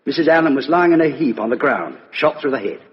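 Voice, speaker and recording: A man's voice: medium pitch, expressive, fast talker, close to an everyday voice. Police sergeant male actor: British, orderly and respectful, varied intonation, reverberant vintage radio drama. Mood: dutiful. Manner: clipped, orderly reporting. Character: dutiful, deferential British police sergeant giving report. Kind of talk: 1950s radio drama acting. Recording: muffled, echoey 1950s radio recording.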